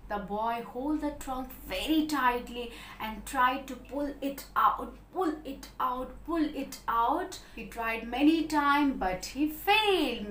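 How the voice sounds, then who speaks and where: A woman's voice: sits high, a bit bright, slight background noise. A woman with an Indian accent telling a story to young children as their teacher, in a sing-song, repetitive way with frequent checking questions. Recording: roomy, echoing sound.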